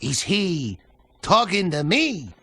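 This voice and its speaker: High-pitched, blustering cartoon thug. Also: male voice, big pitch swings, slow, fairly bright tone, husky, dry recording, full character voice. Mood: indignant. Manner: menacing, blustering. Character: tough, dim-witted male thug henchman in a cartoon game. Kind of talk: animated game character acting.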